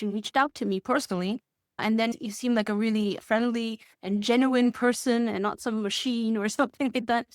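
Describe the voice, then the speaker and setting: Higher-voiced woman, enthusiastic and chuckly. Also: no room sound, studio quality. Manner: enthusiastic, chuckles often while explaining. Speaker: music course student giving a testimonial. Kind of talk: conversational interview answers.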